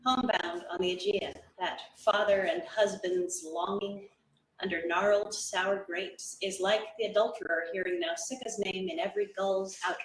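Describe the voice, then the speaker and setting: A woman giving a dramatic poetry reading as an actor, with measured, deliberate phrasing. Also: medium pitch. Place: indoor event room with audience. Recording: echoey room, distant mic, faint noise.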